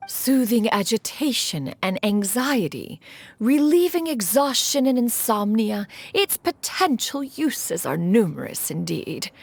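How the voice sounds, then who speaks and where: A woman's voice: on the high side, sing-song, a little slow, gravelly, husky, no room sound, exaggerated voice. A woman voicing an ancient female adept and inventor who speaks formally and archaically. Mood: proud. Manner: formal, archaic, calls herself "one".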